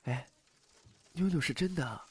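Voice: medium pitch, lively intonation, unhurried, a little mellow, slightly rough. An actor playing a gossipy, easily spooked office colleague in an audio drama. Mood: hushed, spooked. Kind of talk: Chinese audio drama acting.

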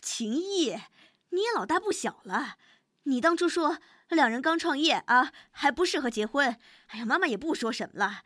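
A woman's voice: high-pitched, animated, moderate pace, fairly bright tone, no room sound, clean studio sound. A woman acting a fussy, nagging older mother, chiding and motherly, in a Chinese audio drama.

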